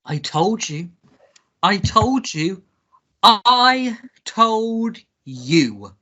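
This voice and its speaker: A woman playing an indignant gentleman wrongly arrested, squeaky and girlish, in a melodramatic radio play. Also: very animated, very slow, exaggerated voice. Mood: pleading, insistent. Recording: dull lo-fi recording.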